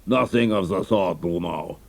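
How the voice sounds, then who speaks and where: Man playing a brusque, gravelly commanding count. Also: sits low, darkish tone, no room sound. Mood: brisk, conciliatory. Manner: brisk, commanding, officer-like. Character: authoritative German count, male commanding officer, brusque. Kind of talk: dramatised audiobook character dialogue.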